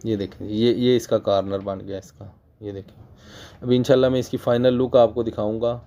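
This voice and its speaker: A man from Pakistan with a Pakistani Urdu accent, hoarse from a cold, patiently explaining sewing machine work in a casual tutorial. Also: lowish voice, level tone, fairly quick, dark tone. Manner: patient, repeats points for emphasis; clears his throat often. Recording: dull lo-fi recording.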